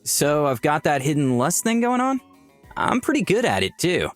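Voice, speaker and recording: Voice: mid-range voice, very animated, normal speed, soft background music, natural voice. An actor playing a shy, innocent-seeming young man in a visual novel, hesitant and unassuming. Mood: shy, awkward. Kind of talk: character acting in a visual novel.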